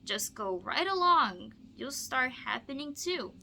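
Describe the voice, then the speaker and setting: A woman with a bright, forward voice takes her time as a teacher demonstrating a read-aloud. Her delivery is expressive and storybook-like, with casual explanations for a student, and there is noticeable background noise in the recording. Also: high voice, slow pace, creaky. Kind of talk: picture-book read-aloud with teaching asides.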